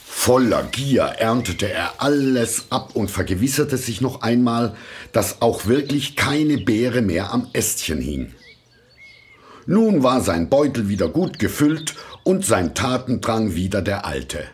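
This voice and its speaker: A man narrating a fairy tale from a script in a measured, expressive storytelling manner. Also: medium pitch, slight background noise.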